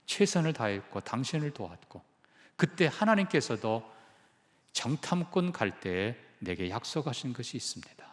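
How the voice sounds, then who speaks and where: A man's voice: medium pitch, big-room sound. A male pastor preaching a sermon, emphatic and putting questions to the congregation. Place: church sanctuary.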